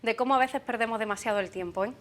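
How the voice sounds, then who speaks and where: A woman's voice: on the high side, slight background noise. Encouraging woman TV host. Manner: encouraging, lively, quick backchannels. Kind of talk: talk-show interview. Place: TV studio.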